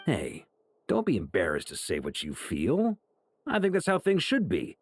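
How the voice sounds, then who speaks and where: A man's voice: fairly low pitch, huge pitch swings, moderate pace, husky, dry recording, full character voice. A man voicing an earnest, supportive, duty-minded hero in a video game. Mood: encouraging. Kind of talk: animated game dialogue.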